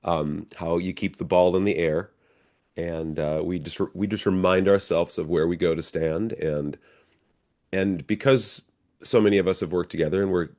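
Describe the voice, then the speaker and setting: An American man giving conversational, reflective interview answers as a guest, explaining things in a measured, step-by-step way. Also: low voice, fairly even intonation, a little mellow. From northern Minnesota, United States. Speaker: interview guest, professional stage actor.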